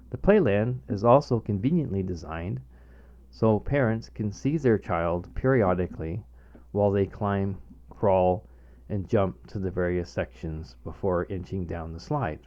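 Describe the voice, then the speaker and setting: A man's voice: lowish voice, darkish tone. A middle-aged man reading his own scripted humorous short story aloud as narrator. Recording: dull, lo-fi home recording.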